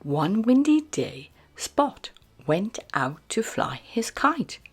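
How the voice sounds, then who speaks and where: Female children's storyteller: breathy, highly animated read-aloud, unhurried to an extreme, music underneath. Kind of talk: expressive picture-book read-aloud. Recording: music behind the voice.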